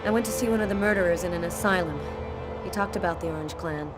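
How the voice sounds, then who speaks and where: A woman acts a determined police investigator with a lowish voice. She sounds skeptical and matter-of-fact, in naturalistic game acting over loud music. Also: fast, lightly acted. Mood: probing, guarded.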